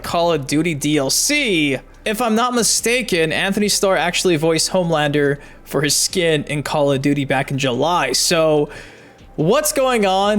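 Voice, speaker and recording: A man's voice: on the high side, fairly bright tone. A man hosting gaming news commentary, chatty and emphatic, talking directly to his viewers. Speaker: YouTube gaming news host. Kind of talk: energetic video commentary, reading articles aloud.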